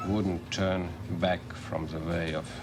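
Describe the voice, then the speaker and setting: A man giving a documentary interview, speaking haltingly and searching for words in a second language. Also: sits low, flat delivery. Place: home in Estonia. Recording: noisy old documentary film sound.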